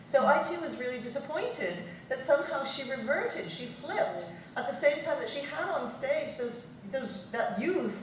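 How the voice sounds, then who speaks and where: A woman's voice: high voice, expressive, normal speed, few pauses. Woman hosting a panel, asks questions, far off mic, big room. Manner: inquiring, takes questions from the floor. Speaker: panel moderator. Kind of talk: panel discussion. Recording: echoey hall from far off, faint noise.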